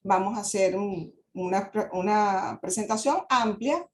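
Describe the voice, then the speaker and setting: A woman presenting as an advisor in a webinar, polite and explanatory, pausing on fillers. Her voice sits at a middle pitch, with faint noise behind it. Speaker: guest speaker, real estate advisor.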